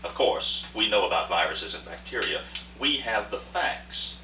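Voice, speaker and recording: A man's voice: lowish voice, expressive, moderate pace, bright, forceful tone. A man acting a cultured, proud businessman, telling his tale articulately and emphatically in a live radio drama. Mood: insistent. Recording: roomy far-off rehearsal recording, faint hiss.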